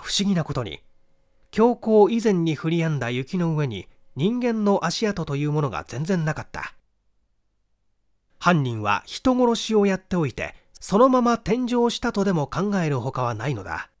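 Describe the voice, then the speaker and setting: A man giving a measured, expressive reading of a literary detective story as narrator. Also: on the high side. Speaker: audiobook reader, stage actor.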